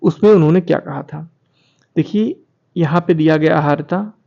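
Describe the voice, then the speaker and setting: A man with a fairly high-pitched voice presents an informal explainer for his online viewers. He reads formal text aloud, then comments on it directly, repeating key phrases to drive them home. The sound is somewhat dull and lo-fi. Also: normal speed, creaky, warm tone. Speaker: YouTube channel host presenting documents.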